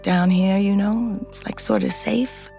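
An actress playing a weary, foul-mouthed middle-aged ex-convict, telling her story in a halting, blunt, confessional way. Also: mid-range voice, fairly even intonation, vocal fry, over music. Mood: stoned, reflective. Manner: halting, blunt, conversational, profane. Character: weary, foul-mouthed, wary woman ex-convict, a rootless New Yorker. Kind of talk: confessional fiction podcast narration and dialogue.

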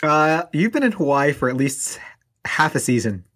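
A man's voice: on the high side, very animated, moderate pace, a bit bright. A man hosting a podcast with casual, joking and teasing banter. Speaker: podcast host.

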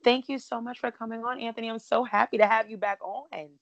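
A woman with a raspy voice hosting a casual podcast chat over a remote call, talking in a breezy way with lots of "like" and "literally.". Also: fairly high pitch, steady tone, dry recording, muffled recording. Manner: chatty, fast-talking with many "like" and "literally". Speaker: podcast host. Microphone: remote call, cutting out at times.

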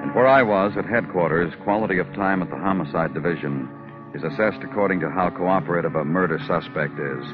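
An actor playing a weary, poetic American homicide detective in 1950s radio noir, speaking in terse, lyrical fragments. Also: male voice, low voice, brisk, natural voice. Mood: wistful, wry. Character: weary, poetic male homicide detective narrating his own case. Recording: dull old radio recording, music behind.

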